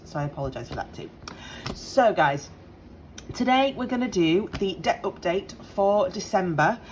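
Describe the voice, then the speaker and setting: A woman in her late thirties from the UK, slightly croaky, chatting casually and apologetically to camera with lots of ums, at home with appliances humming faintly. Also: fairly low pitch, a little mellow, poor audio. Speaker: budgeting vlogger. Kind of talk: casual direct-to-camera update. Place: home on a Sunday morning. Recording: faint appliance hum behind. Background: dishwasher and washing machine running.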